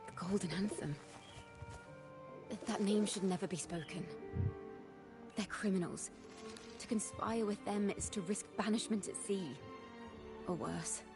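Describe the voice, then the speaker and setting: A woman's voice: mid-range voice, unhurried, long pauses, lightly acted. A woman voicing a breezy, playful young female bard in a video game, with a theatrical, teasing storyteller's manner. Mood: grave. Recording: game music behind the voice.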